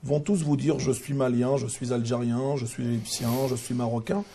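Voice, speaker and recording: A male interviewer with a gravelly, slightly husky voice. His tone is dark, and he presses his guest with insistent, probing questions. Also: medium pitch, steady tone, moderate pace.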